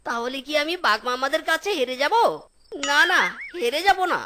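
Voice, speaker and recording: A woman's voice: high voice, expressive, normal speed, background noise. A woman voicing a boastful, mischievous young male animal in a comic cartoon, exclaiming as he brags. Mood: scheming. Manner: exclamatory, boastful, repeats a catchphrase.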